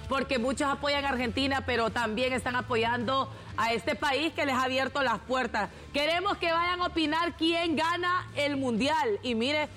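A woman's voice: high voice, normal speed, fairly bright tone, faint music behind. A Honduran woman with a Honduran accent hosting a morning TV show, chatting and explaining to viewers step by step. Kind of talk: lively morning show chat.

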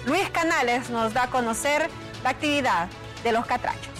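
Woman, news anchor, slightly high, measured, music bed. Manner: measured, emphatic delivery. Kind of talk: scripted news intro.

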